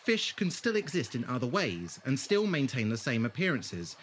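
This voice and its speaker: An actor playing an eccentric, wordy alien time traveller in an audio drama, grandiose and wry. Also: male voice, medium pitch, wildly swooping pitch, moderate pace, slight background noise. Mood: enthusiastic, grand.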